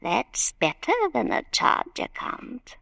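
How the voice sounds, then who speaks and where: An actress playing an imperious, genteel old matriarch in a radio drama, grand, querulous and condescending, with a quavering old voice. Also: female voice, takes it slowly, sharp tone, no room sound, studio quality.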